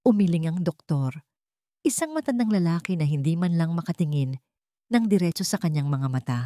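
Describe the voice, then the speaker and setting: A woman's voice: lowish voice, animated, brisk, warm tone, dry recording, clean studio sound. A woman reading scripted fiction as a dramatic story narrator.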